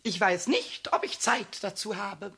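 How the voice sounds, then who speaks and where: A man voicing a bored, cheeky little male kobold in a radio play, with a squeaky, stammering, whiny voice. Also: sing-song, a little slow, gravelly, pushed and bright, heavily acted. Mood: huffy.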